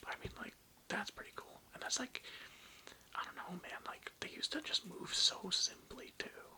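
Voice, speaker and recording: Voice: moderate pace, bright, forceful tone. A person whispering a casual, slangy, nostalgic ASMR ramble in a hesitant, meandering way, close to the microphone. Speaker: ASMR video creator.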